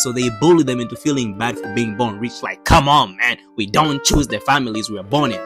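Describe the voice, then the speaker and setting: A young man from Tanzania, narrating casually in a slangy, joking, conversational way. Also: on the high side. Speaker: YouTube storyteller. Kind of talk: casual scripted narration for animated video. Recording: background music under the voice. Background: music.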